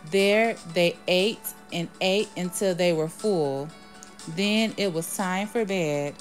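A woman reading a children's storybook aloud in a measured way, announcing each part before she reads it. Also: fairly low pitch. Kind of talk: storybook read-aloud, scripted narration.

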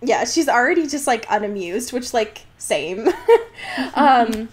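Girl podcaster w a highish voice, giggly n sweary, just chatting casually about a book. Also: animated, unhurried, slight background noise. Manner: frequent swearing, giggly, irreverent. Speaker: podcast co-host. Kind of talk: casual comedic book recap chat.

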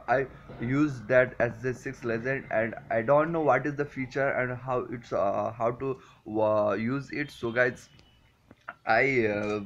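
A man in his twenties with a Nepali accent gives a casual, unscripted product review in a halting, hesitant way, with many fillers. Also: medium pitch, a bit bright, highs cut off. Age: 20s. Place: small furnished room indoors.

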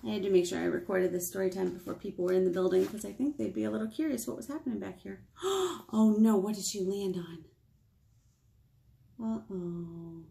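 An American woman in her thirties leading a picture-book storytime for small children, playful and expressive, asking them questions. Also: medium pitch, a little fast, creaky. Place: small indoor room with whiteboard.

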